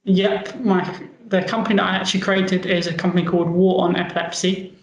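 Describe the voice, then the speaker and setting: A man's voice: sits high, level tone, brisk, roomy sound, rough recording. A man with a London accent, chatting upbeat as an interview guest. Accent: London English. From England. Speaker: guest, medical device founder. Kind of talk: casual interview talk.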